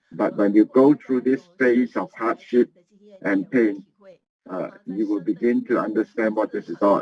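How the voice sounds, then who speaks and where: A man giving a motivational business talk, earnest and halting, often repeating and restarting his phrases. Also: fairly low pitch. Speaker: business presenter. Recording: muffled lo-fi recording.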